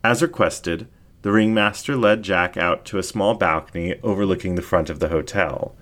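A man reading a story aloud as narrator, with expressive scripted delivery. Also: sits low, moderate pace.

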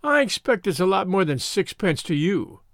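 A man's voice: high voice, sing-song, moderate pace, no echo, studio quality. A man voicing a sulky, defiant schoolboy scout in a story, dismissive and scornful.

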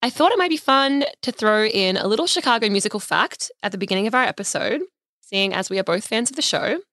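A woman's voice: mid-range voice, expressive, quick pace, fairly bright tone, no room sound, clean studio sound. A woman in her thirties co-hosting a podcast, chatting in a bubbly way and laughing often. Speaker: podcast co-host. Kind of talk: casual chat.

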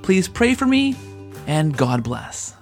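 A man's voice: a little high, sing-song, slow pace, faint music behind. A man speaking as a podcast host, effusive and thankful in his delivery. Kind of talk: podcast interview and scripted sign-off.

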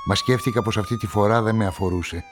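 An actor playing a brooding, lovelorn narrator of a radio crime story, measured and reflective. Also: male voice, fairly low pitch, huge pitch swings, noisy background. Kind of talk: scripted first-person radio drama narration.

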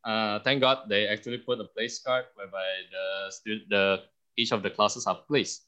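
A young man from Indonesia telling a story as a podcast guest, animated and full of restarts. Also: fairly low pitch, normal speed. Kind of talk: storytelling in an interview.

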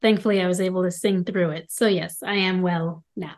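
A woman from Ohio, a stage actress and singer, chatting as a podcast guest. Her voice is slightly raspy and hoarse from a cold, and she tells stories and laughs easily. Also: fairly low pitch, steady tone, fairly quick, darkish tone. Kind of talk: casual interview chat.

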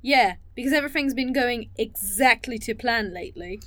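A woman's voice: on the high side, moderate pace, a bit bright, dry recording, lightly acted. An actress playing a caring but torn woman conspirator in a naturalistic audio drama. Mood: angry, defensive.

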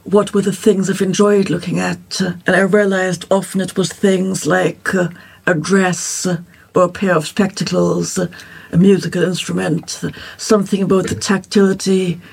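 Panel guest, poet, measured, pausing, gravelly, husky. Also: medium pitch, flat delivery. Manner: measured, deliberate, many pauses with "uh". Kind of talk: panel discussion.

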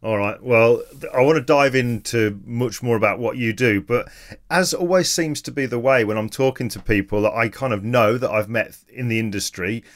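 A man's voice: mid-range voice, moderate pace. A man hosting an interview podcast, asking rambling, chatty questions. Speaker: podcast host. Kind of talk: casual interview chat.

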